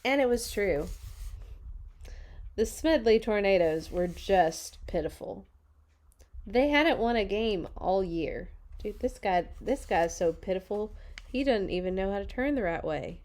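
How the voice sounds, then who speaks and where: Unhurried woman reading a picture book aloud. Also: mid-range voice, lively intonation, darkish tone. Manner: dramatic, deliberate phrasing with playful asides. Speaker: read-along storyteller for children. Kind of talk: expressive picture-book read-aloud with chatty asides.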